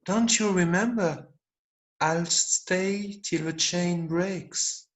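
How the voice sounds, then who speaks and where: A man's voice: high-pitched, very slow. A man playing an earnest, affectionate young boy in an amateur script read-through. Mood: puzzled.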